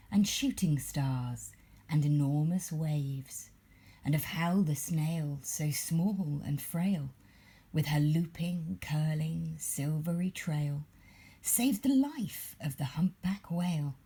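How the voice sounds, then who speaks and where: A woman reading a rhyming storybook aloud in a breathy, measured and expressive storytelling manner. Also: deep voice. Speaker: parent reading a bedtime story.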